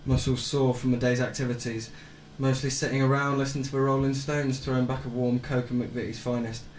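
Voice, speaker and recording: A man's voice: mid-range voice, little pitch movement, fairly quick, slight background noise, natural voice. Echoey, restless young man, acted. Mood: brooding. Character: restless, bored, self-pitying young male writer. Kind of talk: scripted spoken-word radio play monologue.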